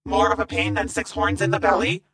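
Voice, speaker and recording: A woman plays a sardonic mechanic robot in an audio adventure story. The voice is gravelly and stays on one level, delivering its dry remarks deadpan, recorded close and dry. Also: mid-range voice, brisk, dry recording.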